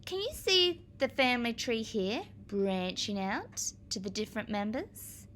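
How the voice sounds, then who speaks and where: A woman teacher reading aloud to young children, explaining as she goes in a clear, expressive manner. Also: fairly high pitch, unhurried, slight background noise.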